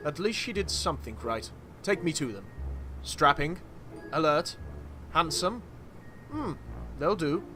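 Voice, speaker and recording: A man's voice: on the high side, lively intonation, a little slow, pauses often, bright, forceful tone, slight background noise, low-quality recording. A man voicing a callous, imperious industrialist father in a comic dub, curt and commanding.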